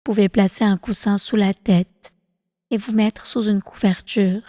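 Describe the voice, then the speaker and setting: A woman guides a meditation in a soft, mellow voice at a medium pitch. Her phrasing is calm, slow and deliberate, soothing the listener as an instructor would. The voice carries a long reverb tail. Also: studio quality. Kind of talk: guided prenatal meditation, yoga nidra.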